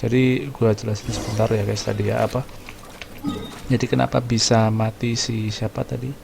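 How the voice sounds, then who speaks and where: A man's voice: lowish voice, little pitch movement, fairly quick, vocal fry, noisy background. A man streaming a video game, chatting casually and recapping the story with lots of slang. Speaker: gaming streamer. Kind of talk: casual let's-play commentary.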